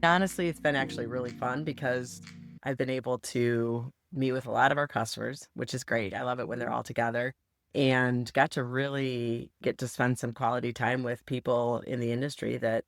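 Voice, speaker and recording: A middle-aged woman with a hoarse voice, co-hosting a casual podcast chat with a chatty, easygoing manner. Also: a bass voice, steady tone, no echo. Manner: chatty, quick asides, frequent laughs. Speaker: podcast co-host.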